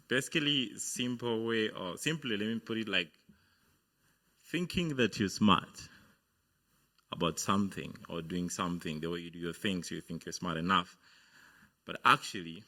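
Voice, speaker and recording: A man's voice: deep voice, lively intonation, unhurried, sharp tone. A young man from Uganda giving a hesitant on-stage talk about his studies, with many fillers and restarts. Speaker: guest speaker, business founder. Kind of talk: motivational speech. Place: on stage at a university event.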